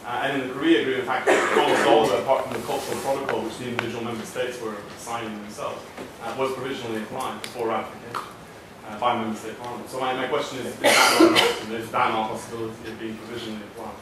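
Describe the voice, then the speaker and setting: Fast-talking man questioning from the floor. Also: flat delivery. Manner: booming, hesitant, lots of fillers. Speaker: audience member asking a question. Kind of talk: conference question. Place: conference hall. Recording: echoey hall, distant mic, background noise.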